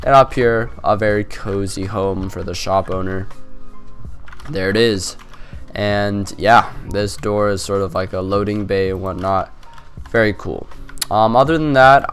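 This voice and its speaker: A young man with a stuffy, nasal cold, chatting casually as he hosts a gaming video with lots of fillers. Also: on the low side. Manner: rambling, chatty, many fillers. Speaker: gaming channel host. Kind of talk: casual gameplay commentary.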